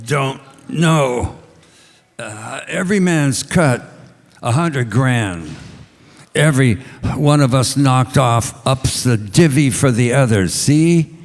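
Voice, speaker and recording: Male actor, failing gangster, slow halting speech, creaky voice, radio play, echoing hall. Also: medium pitch, expressive. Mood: weak, evasive. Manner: halting, faltering. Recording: echoey stage hall.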